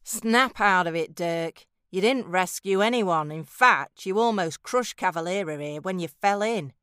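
A woman's voice: on the low side, a little slow, a bit bright, clear, resonant tone, no echo, clean studio sound. A woman playing a sardonic, impatient, no-nonsense adventurer in a fantasy audio drama, with blunt sarcasm. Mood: impatient, bossy.

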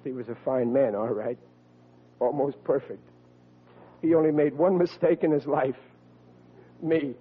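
An actor playing a hapless, whiny, excitable young policeman in an old radio comedy, blustering and stammering. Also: medium pitch, a little slow, long pauses, slightly rough. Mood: dejected. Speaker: comic lead actor. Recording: muffled vintage radio, humming, audience behind.

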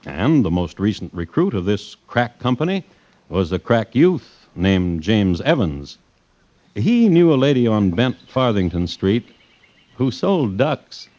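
A man narrating a scripted old-time radio drama as a storyteller, measured and wry. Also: fairly low pitch, sing-song, darkish tone, no room sound. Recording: muffled old radio recording.

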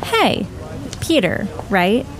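An actress playing a breezy, mocking young college student in an audio drama, speaking casually. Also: female voice, medium pitch, big pitch swings, extremely slow, poor audio. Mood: feigned friendliness. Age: young adult. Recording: busy crowd chatter behind. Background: crowd in a courtyard.

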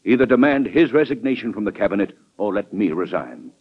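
Deep-voiced, principled American statesman, muffled radio. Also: very animated, a little fast, breathy edge. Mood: indignant. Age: 50s. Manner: indignant, formal, emphatic. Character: principled, hot-tempered fifty-year-old cabinet statesman, deferential yet stubborn. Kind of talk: old-time American radio drama acting. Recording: muffled vintage radio drama recording.

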